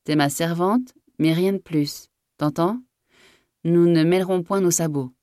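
A woman voicing an old male farm master in a storybook reading, with blunt, bossy, rustic speech and a quick temper. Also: lowish voice, mellow, no room sound, clean studio sound, natural voice. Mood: firm, bossy. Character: old, jovial, hot-tempered male farm master who bellows orders.

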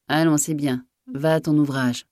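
A woman voicing an old male farm master in a storybook reading, with blunt, bossy, rustic speech and a quick temper. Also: low-pitched, fairly even intonation, a little mellow, dry recording, clean studio sound, close to an everyday voice. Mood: firm, bossy. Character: old, jovial, hot-tempered male farm master who bellows orders.

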